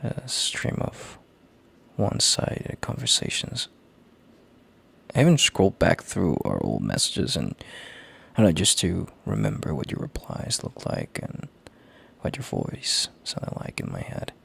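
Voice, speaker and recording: Gravelly, low-voiced gentle boyfriend, acted by a man. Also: huge pitch swings, exaggerated voice. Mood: pained worry. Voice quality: gravelly, husky, breathy. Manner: halting, tender, hushed, sighing between phrases. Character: gentle worried boyfriend, relieved and heartfelt, on a call. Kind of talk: intimate audio roleplay monologue.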